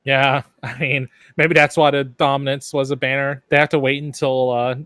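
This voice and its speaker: A man's voice: mid-range voice, little pitch movement, normal speed, a bit bright, clean tone, no echo, studio quality. A man bantering casually as a panelist on a gaming podcast.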